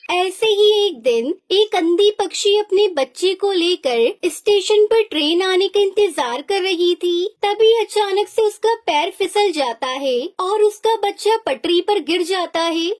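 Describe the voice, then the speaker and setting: A woman storyteller reading a scripted children's story as narrator. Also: extremely high pitch.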